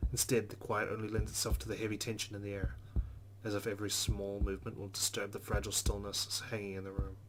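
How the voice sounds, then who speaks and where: A man reading a visual novel's first-person narration aloud as a let's player. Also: on the low side. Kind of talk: dramatic narration of on-screen game text. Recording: noticeable background noise.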